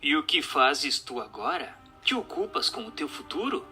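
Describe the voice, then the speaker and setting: A formal, inquisitive séance investigator, acted by a man in a radio drama. His delivery is very bright and forceful, with lively swings in pitch, and music plays softly behind his measured, scripted words. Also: creaky, exaggerated voice. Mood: solemn, inquisitive. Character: formal, inquisitive séance questioner and narrator, male. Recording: music under the voice.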